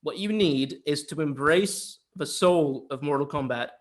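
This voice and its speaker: A man's voice: on the high side, unhurried. A young man chatting casually as a film review co-host, opinionated and given to repeating words for emphasis.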